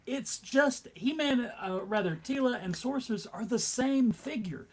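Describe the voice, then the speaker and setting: Wry man with a high-set voice. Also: animated, unhurried. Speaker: panelist at a fan convention discussion. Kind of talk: casual fan commentary.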